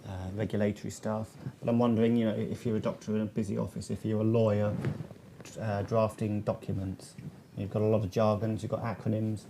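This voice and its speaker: Male moderator, fry in the voice, informal questioning, hesitant phrasing, noticeably noisy recording. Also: fairly low pitch, flat delivery, fairly quick. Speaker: moderator of a panel webinar. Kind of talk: conversational panel moderating, relaying audience questions.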